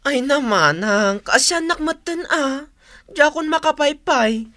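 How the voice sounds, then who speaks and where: A woman's voice: sits high, animated, takes it slowly, pushed and bright, dry recording, clean studio sound, heavily acted. A woman voicing a cheeky, plaintive younger sibling at a food stall, wheedling with an Ilocano accent in a radio drama. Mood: whiny, wheedling.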